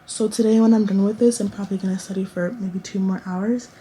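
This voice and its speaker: A woman's voice: mid-range voice, steady tone, a little fast, warm tone, a little hiss or hum. A woman in her late teens chatting casually to camera in a college dorm room, rambling with lots of restarts and fillers. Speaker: vlogger.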